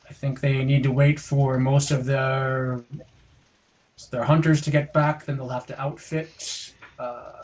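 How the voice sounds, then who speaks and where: A man's voice: medium pitch, flat delivery, unhurried, darkish tone, lightly acted. A man playing a practical, laconic guide from the plains in a tabletop game, plain-spoken and tentative.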